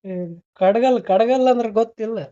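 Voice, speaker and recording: A man's voice: extremely high pitch, normal speed. A man with a North Karnataka accent on a phone call, needling and scolding as he repeats his questions in casual banter. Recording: noisy, band-limited phone call recording.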